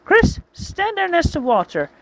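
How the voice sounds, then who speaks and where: Casting: proud warrior woman, put on by a storyteller, high, forceful, commanding, for a fantasy tale. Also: huge pitch swings, measured pace, exaggerated voice, rough recording. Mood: stern, commanding.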